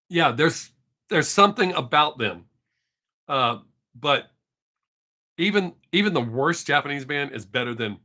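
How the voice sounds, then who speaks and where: Rambling fifty-something Texan man, bright voice. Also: mid-range voice, creaky. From Texas. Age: 50s. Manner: rambling, profane, chatty with viewers. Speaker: live streamer. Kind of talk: casual live stream chat. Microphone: webcam mic.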